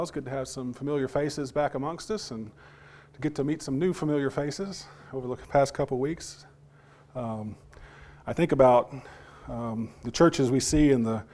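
A man preaching a sermon to his congregation, his voice partly lost and still recovering, talking in a halting way with repeated words and questions to the listeners. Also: mid-range voice, a little mellow.

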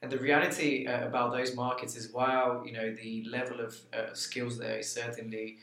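Bulgarian guy on a panel, pretty monotone, lots of ums and restarts, roomy echo. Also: medium pitch, normal speed. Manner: hesitant, lots of fillers and restarts. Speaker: panel guest, recruiting expert. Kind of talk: panel discussion. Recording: echoey room.

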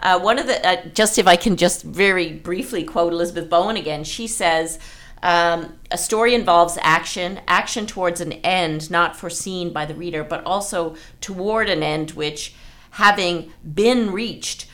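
A woman's voice: on the low side, normal speed, a bit bright. A woman hosting a literary podcast, effusive and thinking aloud as she discusses writing. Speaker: podcast host, writer.

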